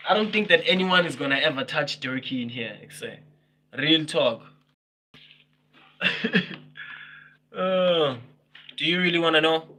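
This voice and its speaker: A man's voice: a little high, expressive, a little slow, pauses often, pushed and bright. A man in his twenties chatting casually with viewers on a livestream, teasing them, laughing often and repeating himself. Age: 20s. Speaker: livestream host.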